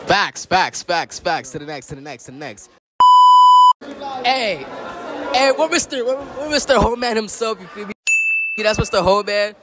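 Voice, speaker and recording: A teenage boy vlogging loud, joking, slangy interviews with classmates on a phone camera in school hallways. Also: high voice, animated, normal speed, long pauses. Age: teens. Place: school hallways and walkways, indoors and out. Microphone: handheld phone camera. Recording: noisy crowd of students behind.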